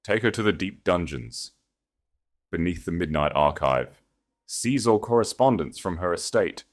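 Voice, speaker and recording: A man's voice: low voice, animated, unhurried. A man voicing a wrathful, commanding Grand Duke in an audio story, cold, imperious and menacing. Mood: stern command.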